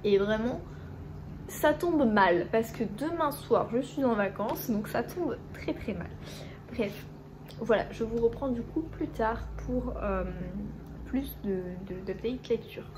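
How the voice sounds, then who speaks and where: A woman with a stuffy, hoarse voice from a cold, chatting casually in a book vlog and rambling with lots of hesitations. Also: fairly high pitch, expressive, slow, background noise. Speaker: book vlogger.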